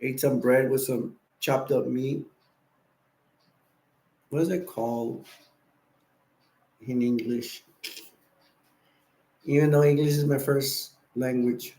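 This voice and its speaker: A tired, sleepy man hosting a casual late-night live stream, rambling and giving viewers shout-outs. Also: mid-range voice, steady tone, slow, pauses often. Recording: echoey room.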